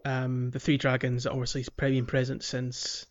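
A man's voice: medium pitch, completely flat delivery. Hesitant man, slightly muffled recording. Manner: hesitant, halting, searching for words. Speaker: podcast co-host. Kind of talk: casual video game discussion.

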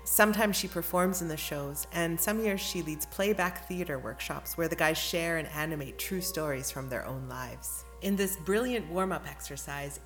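A woman narrates a podcast as its host. Her voice sits low, and she reads scripted links. Faint music plays behind her.

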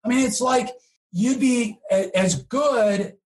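A man's voice: extremely high pitch, slow pace, a little mellow. A man speaking conversationally as an expert guest in an interview, explaining his points and checking in with rhetorical questions.

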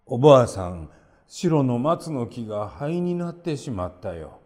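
A man voicing an honest, kindly old farmer in a gentle, tender, simple way for a storybook tale. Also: mid-range voice, big pitch swings, a little slow, in a large hall. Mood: sorrowful.

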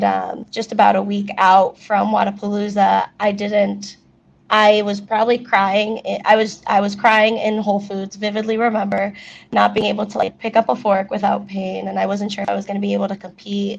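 Young woman, spanish first language, gravelly chatty voice, kinda muffled lo-fi audio. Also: mid-range voice. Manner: chatty, many fillers, quick laughs. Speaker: interview guest, athlete. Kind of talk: casual interview chat.

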